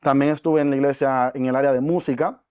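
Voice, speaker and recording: A man's voice: mid-range voice, steady tone, fast talker, a little mellow, clear, resonant tone, no room sound, clean studio sound. A man, a podcast guest talking earnestly and reflectively about his life, with frequent fillers. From Dominican Republic. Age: 20s. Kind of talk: casual interview talk.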